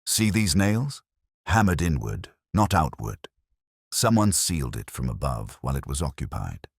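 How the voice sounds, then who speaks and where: A man voicing a coolly precise, commanding detective in an audio story, quiet, intent and clipped. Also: very deep voice, sing-song, moderate pace, slightly rough, dry recording, clean studio sound, exaggerated voice. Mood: terse, commanding.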